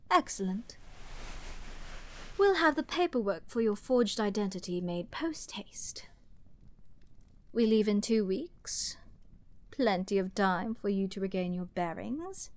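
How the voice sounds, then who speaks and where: Woman doing a snobby flirty princess, kinda threatening, slow n dramatic w breathy bits, asmr roleplay, super dry close mic. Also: medium pitch, dry recording. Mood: imperious, amused. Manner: haughty, theatrical, drawn-out phrasing with hushed breathy asides.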